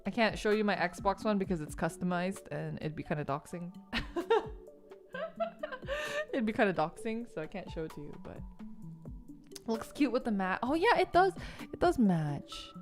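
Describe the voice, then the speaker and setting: A woman's voice: medium pitch, expressive, moderate pace, long pauses, soft background music. A woman streamer chatting casually from her home gaming room, rambling and giggling, with lots of ums while she lists her things. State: stuffed up after a missed sneeze. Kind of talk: casual stream chat while building a model kit.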